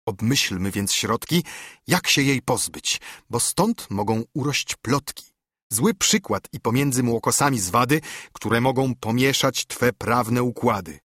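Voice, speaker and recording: A man's voice: medium pitch, expressive, unhurried, a bit bright, slightly rough, no echo, clean studio sound. A man voicing a brooding, urgent monk who admonishes and reasons earnestly, in a dramatised verse audiobook. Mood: concerned. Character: brooding, urgent monk, scolding and pleading with a friend.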